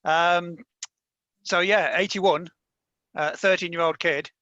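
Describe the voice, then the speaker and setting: A man's voice: sits high, fairly even intonation, slow pace, pushed and bright, no room sound, lo-fi sound. A man in his fifties with a light Yorkshire accent, telling a rambling story on a casual panel chat. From Yorkshire, England. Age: 50s. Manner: chatty, rambling storyteller with frequent restarts. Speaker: panel guest, comic creator.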